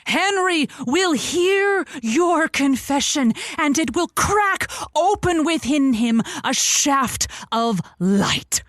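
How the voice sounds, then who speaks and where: A woman playing a sincere, theatrical radio advice host who speaks in a measured, dramatic way. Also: sits high, expressive, a bit bright, no echo, studio quality, full character voice. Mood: theatrical fervour. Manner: measured, dramatic, preacher-like when urging. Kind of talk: audio drama radio call-in acting.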